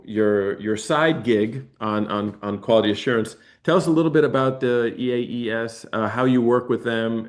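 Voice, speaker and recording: A man's voice: medium pitch, a little mellow. Rambling man hosting an interview. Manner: rambling, self-interrupting questions. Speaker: podcast host. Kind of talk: interview conversation.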